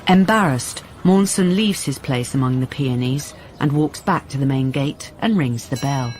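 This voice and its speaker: A woman acting as narrator of a detective radio drama, telling the story in measured, scripted present tense. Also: extremely low pitch, a little mellow, a little hiss or hum. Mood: measured storytelling. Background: music.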